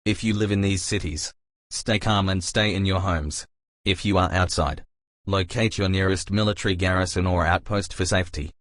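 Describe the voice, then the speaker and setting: A man playing a solemn emergency alert announcer, reading scripted warnings in a formal, measured way. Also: low-pitched, steady tone, a bit bright, dry recording. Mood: grave, urgent.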